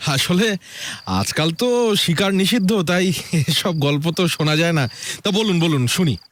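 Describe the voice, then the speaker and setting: An actor playing an enthusiastic, romantic, easily thrilled holiday guest in an effusive audio drama style. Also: male voice, a little high, animated, measured pace. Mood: admiring, eager. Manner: effusive, savouring. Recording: music behind, chirping crickets.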